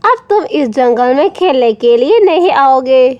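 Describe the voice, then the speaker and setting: A woman's voice: sits high, expressive, measured pace, no echo, highs cut off. A woman voicing a protective, indignant mother bird in a children's cartoon. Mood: scolding. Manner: indignant, emphatic. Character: protective, scolding mother bird, fierce for her son. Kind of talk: children's cartoon story acting.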